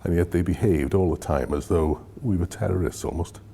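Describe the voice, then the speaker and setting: A sixty-year-old man, a former serviceman, giving a documentary interview in a husky voice. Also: deep voice, brisk, mellow. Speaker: interviewee, former Royal Marine and police officer.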